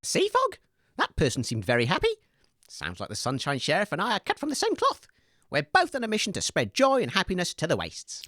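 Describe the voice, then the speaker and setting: Male actor as relentlessly cheery co-host, hugely swooping pitch, dry studio sound, radio comedy. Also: brisk, no room sound, exaggerated voice. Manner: chirpy, animated. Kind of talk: scripted comedy radio drama.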